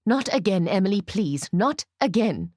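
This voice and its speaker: Woman acting Ruth, a gruff, weary old woman. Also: medium pitch, big pitch swings, moderate pace, a little mellow, no room sound, clean studio sound. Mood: exasperated.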